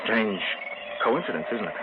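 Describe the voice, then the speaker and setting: Earnest American male writer played by an actor, highly animated mid-range voice, dramatic vintage radio horror, heavy background noise. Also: normal speed, lightly acted. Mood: uneasy. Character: earnest male writer recounting an eerie tale. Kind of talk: 1940s-50s American radio acting. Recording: noisy, muffled old radio recording.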